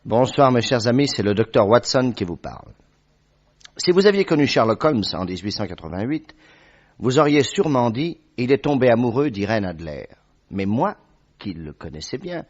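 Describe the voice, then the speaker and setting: An actor playing a genial gentleman doctor, the loyal friend of a detective, in a measured and articulate radio drama style. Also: medium pitch, lightly acted. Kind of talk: vintage French radio drama narration. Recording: dull old radio broadcast recording.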